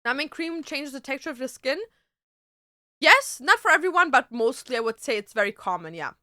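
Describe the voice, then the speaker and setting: A woman's voice: high voice, big pitch swings, a little fast, pushed and bright, no echo, clean studio sound. A young woman, a tattoo artist, chatting casually with her stream viewers and answering their questions in a loose, talkative way. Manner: chatty, loose, peppered with "like" and "dude".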